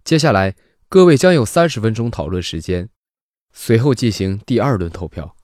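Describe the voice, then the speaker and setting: A man playing an impassive official who makes formal, measured procedural announcements in an audio drama. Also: fairly low pitch, animated, a little mellow, no room sound, clean studio sound, natural voice. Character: impassive official announcing a life-or-death vote.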